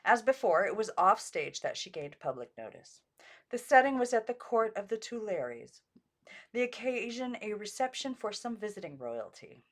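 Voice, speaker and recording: A woman reading a biography aloud as an amateur narrator, in an unpolished way that stumbles over foreign names. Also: on the high side. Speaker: audiobook reader.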